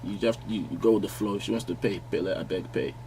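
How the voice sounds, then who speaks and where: A young Nigerian-born man talks as a guest in a small podcast studio. His voice sits on the low side and his speech is easygoing, full of small restarts. A faint noise floor runs under the studio mic. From London, born in Nigeria. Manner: relaxed, halting, many restarts, laughs easily. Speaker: guest fashion designer. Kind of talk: casual podcast chat. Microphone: studio mic on arm, wearing headphones.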